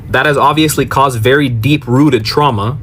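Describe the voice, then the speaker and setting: American male commentator, emphatic and explanatory, rhetorical questions, mid-pitched voice. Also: moderate pace, fairly bright tone, a little hiss or hum. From Miami, Florida. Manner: emphatic, poses rhetorical questions and answers them. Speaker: commentator on a social media reaction video. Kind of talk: explanatory political commentary to camera.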